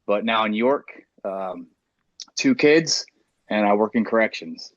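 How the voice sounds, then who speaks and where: A man's voice: lowish voice, expressive, unhurried, no echo, lo-fi sound. A man in his thirties with an American accent, talking earnestly and plainly in a casual roundtable. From New Jersey, United States. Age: 30s. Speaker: podcast panelist.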